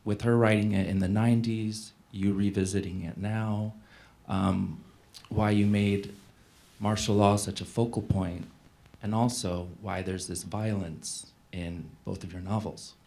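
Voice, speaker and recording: A man posing an unscripted question at a public event in a measured, formal, polite way. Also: lowish voice, fairly even intonation, gravelly, a little mellow. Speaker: audience member asking a question.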